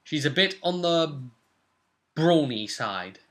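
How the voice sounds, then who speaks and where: Bright-voiced young man recapping a game. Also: on the high side, animated, takes it slowly. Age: young adult. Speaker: host, game master. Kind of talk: casual recap of a tabletop role-playing session. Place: small furnished room at home.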